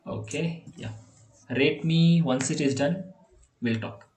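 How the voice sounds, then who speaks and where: A man's voice: fairly high pitch, takes it slowly, darkish tone. A young man from India, a native Telugu speaker with an Indian accent, tutoring a student over a video call, full of fillers and restarts. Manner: hesitant, many fillers and restarts. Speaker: online coding tutor. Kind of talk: casual online tutoring session. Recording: echoey video call audio.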